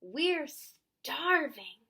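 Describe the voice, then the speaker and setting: A woman voicing eager young children in a picture book, with emphatic, drawn-out delivery. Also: sits high, sing-song, vocal fry, pushed and bright, pitched above the performer's own voice, exaggerated voice. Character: hungry, eager young children sharing cookies.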